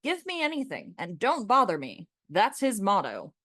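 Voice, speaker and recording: A woman's voice: mid-range voice, wildly swooping pitch, moderate pace, fairly bright tone, no room sound, clean studio sound. A woman playing an opinionated, sardonic young man in a radio play, lecturing in an emphatic, mocking way. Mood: scornful lecturing.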